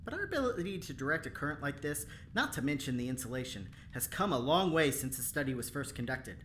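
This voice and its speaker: An actor playing an eager, insecure, chatty engineer in an audio drama, self-conscious and halting in delivery. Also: mid-range voice, very animated, fairly bright tone, slight background noise. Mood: earnest, self-conscious.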